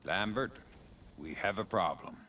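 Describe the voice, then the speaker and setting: An actor playing a middle-aged male covert agent in a video game, cool, menacing and dryly deadpan, speaking low and deliberately. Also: heavy background noise. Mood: terse, businesslike.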